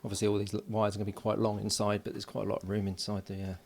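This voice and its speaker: A middle-aged man narrating his electronics build at a home workbench, relaxed and filling pauses with ums and uhs. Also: on the low side, creaky, a little hiss or hum. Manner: relaxed, thinking aloud with many fillers. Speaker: electronics hobbyist.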